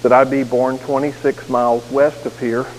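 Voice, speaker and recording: A man's voice: medium pitch, level tone, moderate pace. A man with a neutral American accent preaching a sermon as pastor, earnest with touches of self-deprecating humor. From United States. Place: church sanctuary. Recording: echoey sanctuary with faint hiss.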